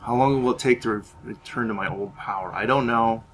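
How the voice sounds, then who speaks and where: A man's voice: medium pitch, brisk, a bit bright, slight background noise, close to an everyday voice. A man voicing a hungry, scheming vampire necromancer in a video game roleplay, musing in a sinister way. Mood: brooding, wary.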